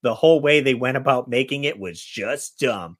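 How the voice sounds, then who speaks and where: A man's voice: medium pitch, lively intonation, normal speed. A man hosting a podcast guessing game, chatting casually about movies in an informal, rambling way.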